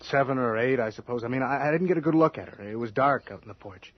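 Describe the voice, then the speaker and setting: An actor playing a worried, domineering husband in an old-time radio drama, insistent and prone to stammering. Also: male voice, mid-range voice, fast talker, dry recording, lightly acted. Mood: uneasy, evasive. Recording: muffled, noisy old radio broadcast.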